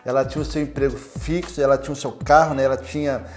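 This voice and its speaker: A man with a Brazilian accent hosting an informal interview, halting and repeating words, often ending with tags that seek agreement. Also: medium pitch, flat delivery, faint music behind. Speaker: interviewer, fellow missionary.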